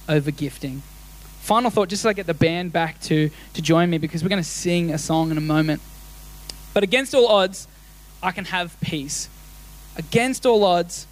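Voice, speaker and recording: A man's voice: high voice, a bit bright. A man preaching a sermon as a pastor, earnest and encouraging, often repeating his first words as he starts a sentence.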